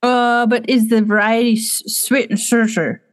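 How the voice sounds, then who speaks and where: A woman playing a hurried, polite shopper. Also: a little high, level tone, close to an everyday voice. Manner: quick, apologetic, interrupting.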